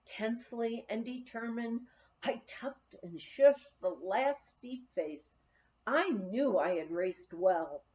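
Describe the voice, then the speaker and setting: A woman narrates a memoir from a script in a fairly high, mellow voice. She goes slowly, with very animated intonation. The recording is a little dull. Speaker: audiobook reader.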